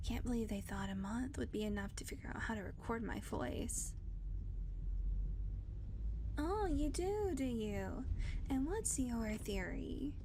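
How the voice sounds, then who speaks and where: A sardonic, ageless female vampire, played by a woman in an intimate audio drama. Her voice sits high, and she teases in a dry, blunt way, often dropping into soft whispers. A faint hiss of background noise runs underneath. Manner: dry teasing with frequent soft whispered asides. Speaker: audio roleplay performer. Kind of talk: intimate audio roleplay acting.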